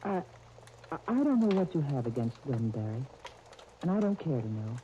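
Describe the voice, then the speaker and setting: An American woman acting a gentle, reasonable fiancée in a 1930s radio soap opera. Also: a bass voice, sing-song, moderate pace. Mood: earnest, reproachful. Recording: noisy, dull 1930s radio disc recording.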